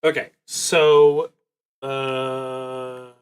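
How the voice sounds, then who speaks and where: Slow, halting man thinking aloud. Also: medium pitch, little pitch movement, clean tone. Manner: halting, deliberate, musing to himself. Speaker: host of a solo roleplaying livestream. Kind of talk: thinking aloud while playing a solo tabletop game.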